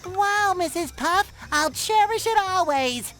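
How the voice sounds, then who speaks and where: A man voicing an eager, naive boyish sea sponge in a cartoon game, squeaky, nasal and perky. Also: lively intonation, takes it slowly, a bit bright, soft background music, full character voice. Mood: eager gratitude. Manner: perky, earnest, over-eager. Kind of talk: cartoon game character acting.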